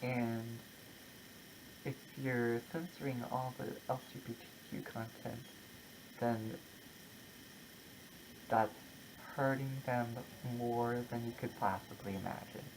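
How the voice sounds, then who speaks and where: A content creator talking casually and personally, in a halting, reflective way with short broken phrases. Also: mid-range voice, fairly even intonation, background noise. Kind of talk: casual personal vlog, opinion talk to camera.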